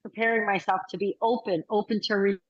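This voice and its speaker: Woman, 60s, chatty and animated, mid-pitched, roomy slightly dull call audio. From United States. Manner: chatty, animated storyteller, quick bursts. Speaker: workshop participant. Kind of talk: casual video call chat. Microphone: video call.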